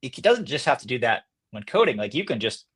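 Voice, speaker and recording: A man's voice: medium pitch, sing-song, brisk, fairly bright tone, husky. A man speaking casually as a company executive guest in an interview, feeling his way through his answers as he talks.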